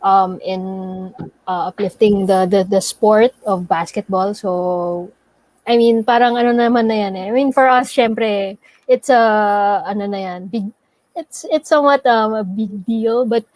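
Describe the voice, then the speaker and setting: A woman's voice: mid-range voice, a little mellow, dry recording, low-quality recording. A woman with a Filipino accent co-hosting a casual podcast, switching between Tagalog and English and often restarting. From Philippines. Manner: halting, mixes Tagalog and English, many restarts. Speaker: podcast co-host.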